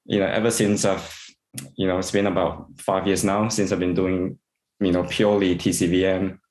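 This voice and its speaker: A man from Singapore, an integrative veterinarian, answering interview questions in a halting way with many repeated words and fillers. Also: deep voice, level tone, darkish tone, echoey room, low-quality recording.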